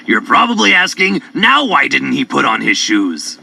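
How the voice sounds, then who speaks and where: A man narrating children's stories in a playful, theatrical, drawn-out way. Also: mid-range voice, sing-song, vocal fry, sharp tone, no room sound. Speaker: storyteller. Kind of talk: animated children's story narration. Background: music.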